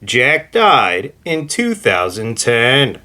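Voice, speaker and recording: A man reading a scripted encyclopedia entry aloud as host, with a deliberate, emphatic announcer-like delivery. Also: mid-range voice, wildly swooping pitch, bright, forceful tone. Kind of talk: scripted narration, read aloud.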